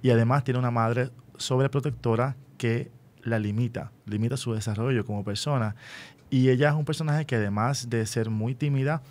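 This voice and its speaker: A man with a Puerto Rican accent hosting an unscripted radio interview, speaking deliberately and often checking in with short rhetorical questions. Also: mid-range voice, steady tone, a little mellow. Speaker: radio host and school educator.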